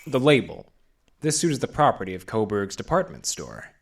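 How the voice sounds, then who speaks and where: An actor playing a wry, hardboiled library detective in a noir radio drama, with dry, clipped delivery and first-person narration. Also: male voice, medium pitch, big pitch swings, normal speed, vocal fry, a little hiss or hum. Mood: dry, kindly.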